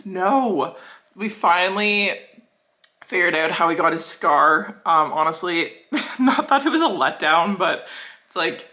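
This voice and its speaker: A woman's voice: lowish voice, a little slow. Gushing young woman in casual vlog chat. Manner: gushing, animated, dramatic emphasis; frequent filler "like". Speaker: book reviewer vlogger.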